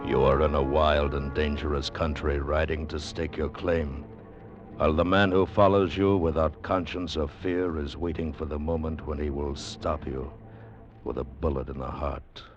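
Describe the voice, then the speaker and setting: A man playing a grave storyteller, narrating a 1950s radio drama in a solemn, dramatic, measured way. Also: extremely low pitch, steady tone. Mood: ominous. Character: grave male storyteller of adventure and doom. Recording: dull vintage radio, music behind.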